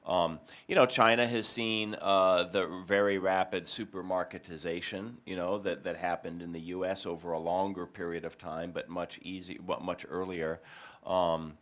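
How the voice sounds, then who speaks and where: A man's voice: deep voice, fairly even intonation, normal speed, fairly bright tone. A man answering as an academic panelist, matter-of-fact and careful, thinking aloud as he goes.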